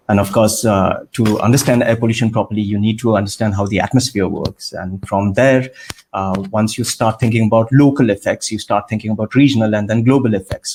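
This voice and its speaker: A man from India, in his thirties, answering interview questions on a video call in a measured, explanatory way with frequent fillers. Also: on the low side, darkish tone, slight background noise, low-quality recording. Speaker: guest scientist.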